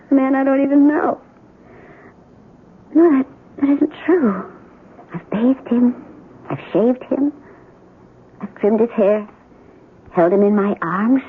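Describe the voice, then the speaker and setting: An actress in an old-time radio drama playing a devoted, tender military nurse with an earnest, soothing manner. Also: female voice, a little high, a little hiss or hum. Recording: tinny narrow-band old radio recording.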